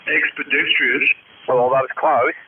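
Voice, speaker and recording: A man's voice: medium pitch, fairly even intonation, unhurried. An Australian man chatting casually over two-way radio, swearing and joking in a blokey way. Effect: two-way radio transmission. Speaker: amateur radio operator. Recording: narrow-band radio, loud hiss and static. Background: radio hiss.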